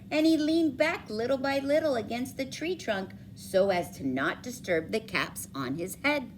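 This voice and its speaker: High, slow, animated woman reading a storybook. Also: a little hiss or hum. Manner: dramatic emphasis, drawn-out words, questions to young listeners. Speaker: storybook reader for schoolchildren. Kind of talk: expressive children's read-aloud.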